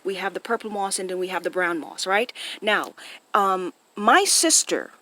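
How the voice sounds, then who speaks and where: A woman's voice: medium pitch, moderate pace, sharp tone. A woman with a Jamaican accent giving a casual, impromptu explainer to viewers, often checking in with short tag questions, recorded on a phone. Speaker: online video host.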